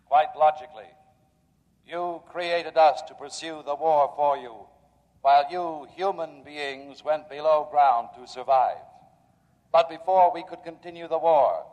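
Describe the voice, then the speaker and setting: Male actor for calm robot leader, 1950s sci-fi radio. Formal, didactic, dull vintage recording with hall reverb. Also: fairly high pitch, close to an everyday voice. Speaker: robot council chairman. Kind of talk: 1950s radio drama acting.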